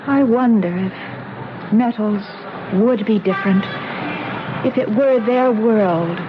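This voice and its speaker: A woman's voice: medium pitch, takes it slowly, lightly acted. An actress playing an earnest, uneasy small-town woman of almost thirty in a 1970s radio drama. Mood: troubled, resigned. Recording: muffled, noisy 1970s radio broadcast recording.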